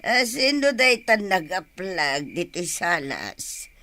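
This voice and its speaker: A woman's voice: on the low side, big pitch swings, slow, pushed and bright, exaggerated voice. A woman playing a rambling, merry old grandmother with an Ilocano accent in a radio drama, drawling and grunting. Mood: tipsy, merry. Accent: Filipino, Ilocano. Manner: drawling, full of little grunts and ahs. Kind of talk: Philippine radio drama acting.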